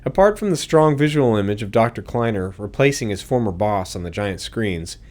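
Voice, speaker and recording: Man, medium register, animated melody, scripted developer commentary. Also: medium pitch. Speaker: game developer.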